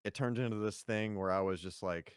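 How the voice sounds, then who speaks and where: Podcast guest, man, very low smooth voice, easygoing rambling talk, dry close sound. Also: a little fast, no echo. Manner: relaxed, rambling with fillers and laughs. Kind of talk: casual podcast chat.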